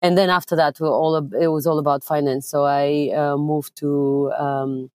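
A young woman from Bulgaria, speaking directly as a podcast guest, with frequent filler pauses. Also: low-pitched, flat delivery, a little mellow, no echo. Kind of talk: conversational interview.

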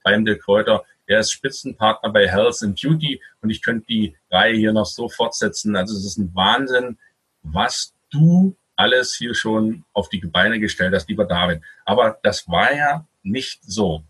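A man with a very husky, rough-edged voice hosts an interview. His tone is bright and forceful, pitched a little low. He speaks conversationally, as if introducing and questioning a guest. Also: normal speed, rough recording. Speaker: interview host.

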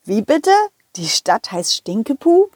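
A woman voicing a cheerful, eager little male dog who talks excitedly to children in an audio play. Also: mid-range voice, slow pace, husky, no room sound, studio quality, exaggerated voice. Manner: excitable, addresses children directly.

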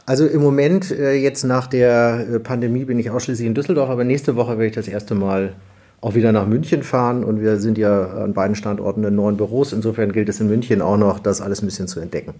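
A man from Munich chatting casually as a guest, brisk and matter-of-fact. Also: lowish voice, steady tone, mellow. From Munich, Germany. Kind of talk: casual chat.

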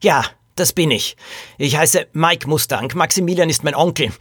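A man's voice: a little high, moderate pace, a bit bright, husky, dry recording, clean studio sound, voice pushed up. A man voicing a small injured male jockey in a children's detective story, panicked, pleading and breathless. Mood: agitated, distressed. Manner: breathless, gasping, agitated.

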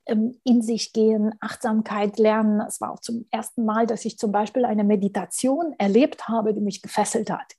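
Woman with a slightly high voice, chatty guest telling travel stories, lots of ums. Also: normal speed, hardly any pauses, breathy edge. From Germany. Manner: lively storytelling with many fillers and restarts. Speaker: guest, school founder. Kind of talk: casual interview conversation.

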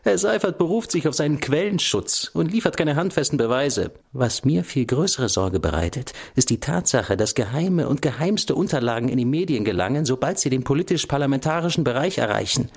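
An actor playing a beleaguered intelligence agency chief in a radio play, officious, defensive and agitated. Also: male voice, medium pitch, animated, a little fast, gravelly, hardly any pauses, slightly rough. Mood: defensive, official. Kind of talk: radio drama acting.

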